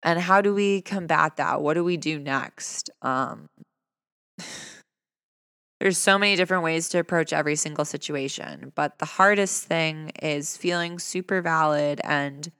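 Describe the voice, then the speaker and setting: A man speaking earnestly and conversationally in a personal reflective talk, his voice fairly high for a man, often asking rhetorical questions. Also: pushed and bright, clean tone, no room sound, studio quality, big-room sound. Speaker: vlogger.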